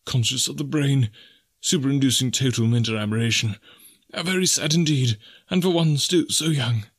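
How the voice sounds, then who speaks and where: A man's voice: mid-range voice, normal speed, voice pushed up, lightly acted. A man voicing a prim, anxious old secretary in an audiobook story, formal and earnest. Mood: mournful. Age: older.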